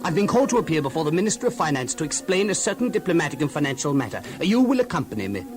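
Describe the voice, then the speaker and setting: An actor playing a wealthy, effusive master of an ancient household in a children's audio Bible drama, speaking expansively with emphatic, halting repetitions. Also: male voice, fairly high pitch, big pitch swings, over music. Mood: effusive, grateful.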